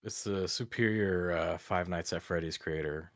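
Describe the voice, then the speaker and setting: A man's voice: low voice, moderate pace. A man on a reaction panel, talking in casual slang with friends. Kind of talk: casual chat.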